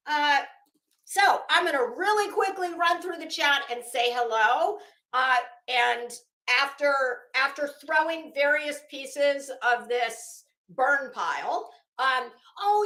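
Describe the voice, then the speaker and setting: A woman hosting a livestream, rambling casually in an animated, theatrical, self-mocking way. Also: high-pitched, slight background noise. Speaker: livestream host. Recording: echoey, roomy sound.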